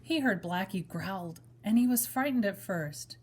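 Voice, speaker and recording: A woman reading a children's story aloud in a measured, expressive manner, as if to young children. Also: mid-range voice, husky. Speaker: storybook reader. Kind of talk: children's story read-aloud with brief asides to listeners.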